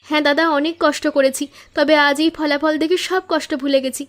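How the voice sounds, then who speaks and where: A woman's voice: sits high, little pitch movement, a little fast, natural voice. A woman voicing a hardworking young flower-seller sister in a cartoon moral story, earnest, respectful and grateful. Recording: music under the voice.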